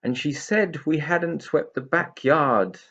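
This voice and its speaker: Man acting idle storybook pixie, self-pitying grumbler, unhurried, husky, slightly muffled recording. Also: fairly high pitch, fairly bright tone, voice pushed up, heavily acted. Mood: grumbling. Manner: gloomy, whining complaints.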